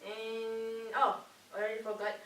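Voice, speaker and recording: A woman's voice: on the high side, completely flat delivery, unhurried, fairly bright tone. A young woman with a Malaysian accent, an agriculture student, introducing herself and asking questions in a friendly way. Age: young adult. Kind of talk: casual group introduction. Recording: roomy, distant, faint hiss.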